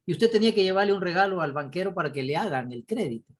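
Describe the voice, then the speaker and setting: Husky Ecuadorian-raised man telling stories. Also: high voice, fast talker. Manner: apologetic, animated storytelling with exclamations. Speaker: course participant. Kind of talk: casual video-call discussion.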